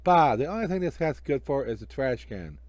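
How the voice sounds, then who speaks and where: A man's voice: mid-range voice, sing-song, a little fast, darkish tone, no room sound, close to an everyday voice. A man voicing a vain, greedy, grouchy stage magician in a story, with a theatrical, scolding bluster. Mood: pompous, frustrated.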